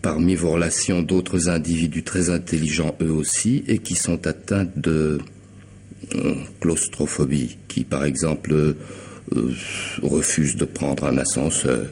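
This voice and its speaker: An actor playing a coolly polite, intelligent hired killer in a radio mystery drama, speaking deliberately and sardonically, with commanding theatrical pauses. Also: male voice, rumbling low, fairly even intonation, a little mellow, breathy edge, heavily acted. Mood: probing, superior. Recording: noisy old radio drama recording.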